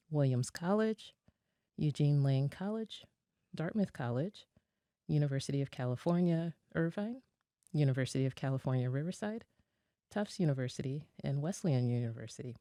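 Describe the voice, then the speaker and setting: Podcast host, mellow warm tone, dry close mic, stumbles a bit reading the intro. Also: mid-range voice, no room sound. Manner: hesitant reading with slips and self-corrections. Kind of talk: reading a guest introduction, then interviewing.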